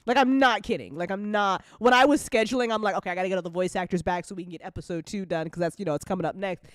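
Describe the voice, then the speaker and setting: A woman chatting casually as an audio drama creator and host, with an animated, sweary, slangy way of talking. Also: medium pitch, rapid-fire, no room sound, studio quality. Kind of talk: casual chat.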